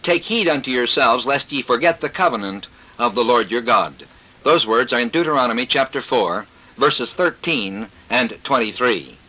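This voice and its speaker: A man preaching a scripted sermon in a formal, declarative manner, reading scripture and citations in measured phrases, on an old cassette tape recording. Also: medium pitch, lively intonation, pushed and bright, low-quality recording.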